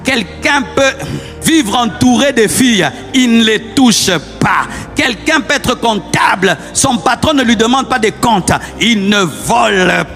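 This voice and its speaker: A man's voice: very high voice, expressive, measured pace, fairly bright tone. A man with a Congolese French accent preaching a fiery sermon, exhorting his listeners with insistent repetition and rhetorical questions. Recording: long hall reverb, music underneath.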